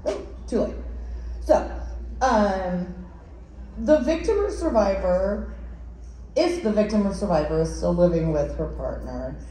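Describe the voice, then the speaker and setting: A woman's voice: mid-range voice, sing-song, a little slow, long pauses. A woman giving a conference presentation, partly from a script, projecting her voice as a workshop presenter in a large room. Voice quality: loud, projecting voice. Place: large indoor conference ballroom. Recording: very echoey room, some noise, distant.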